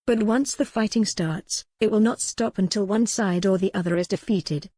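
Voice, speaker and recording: A woman's voice: medium pitch, moderate pace, dark tone, no echo, studio quality, close to an everyday voice. A woman voicing a remorseful yet determined young noblewoman in a historical romance audiobook. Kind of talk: dramatic audiobook character reading.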